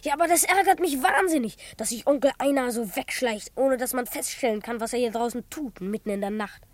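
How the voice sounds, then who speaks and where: A woman's voice: fairly high pitch, fairly quick, darkish tone, lightly acted. A woman playing an eager, self-important twelve-year-old boy detective in a children's radio play, earnest and easily indignant. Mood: earnest, determined. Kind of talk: children's radio play acting and first-person narration.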